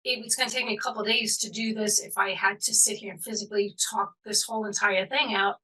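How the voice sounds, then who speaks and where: Bright, husky-voiced woman host, set back from the mic. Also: medium pitch, fairly even intonation, quick pace. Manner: chatty and rambling, with trailing asides. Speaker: podcast host. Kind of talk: casual conversational interview. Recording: voice distant from the mic.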